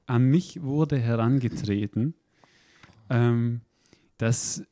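Chatty radio host, dry sound. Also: male voice, medium pitch, extremely slow, mellow, no echo. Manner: chatty, playful. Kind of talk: casual radio chat.

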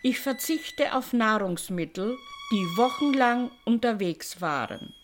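Woman around 70, slow and deliberate, fairly high voice, clear music behind her. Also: lively intonation. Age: 70s. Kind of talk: short scripted statement.

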